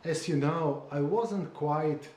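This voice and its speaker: A man whose first language is Slovene talks about writing his memoir, pausing often and searching for precise words. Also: on the high side, darkish tone, roomy sound. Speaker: memoir author. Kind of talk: conversational interview.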